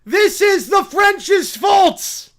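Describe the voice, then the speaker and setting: A performer voicing a bratty, bossy cartoon child who makes indignant, melodramatic shouted declarations. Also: very high voice, very animated, extremely slow, pitched above the performer's own voice, full character voice. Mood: dramatic complaining.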